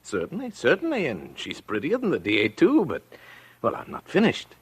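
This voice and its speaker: An actor playing a showy, sly defense lawyer with a mocking, theatrical manner in 1940s radio style. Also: male voice, medium pitch, huge pitch swings, normal speed, husky, full character voice. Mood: wry, dramatic.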